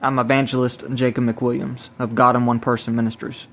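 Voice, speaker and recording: A man talks in a rambling, personal way, like someone recording his own testimony. His pitch sits in the middle and barely moves. He is halting, often repeating and restarting his phrases. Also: flat delivery, fairly bright tone. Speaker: self-described evangelist recording a personal video. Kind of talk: rambling spoken testimony to camera or phone.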